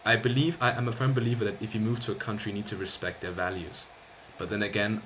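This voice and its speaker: A man's voice: on the low side, fairly bright tone, a little hiss or hum, low-quality recording. A man hosting a podcast, talking earnestly in a casual discussion and often saying "you know.". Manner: quick, earnest, many fillers of "you know". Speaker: podcast host.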